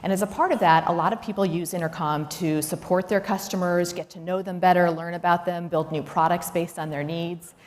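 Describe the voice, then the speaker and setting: A woman's voice: lowish voice, steady tone, a little fast, few pauses. A woman executive giving a prepared business keynote, speaking in an instructive, emphatic way with rhetorical questions. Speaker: chief executive giving a conference talk. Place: large indoor talk venue. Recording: echoey hall, noticeable background noise.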